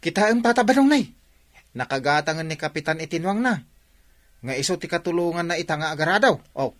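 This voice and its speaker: Guy playing village buddy telling folks off in a radio drama, highish swooping voice. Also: lively intonation, fairly quick, close to an everyday voice. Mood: admiring, chiding. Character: chiding village friend who praises hard work.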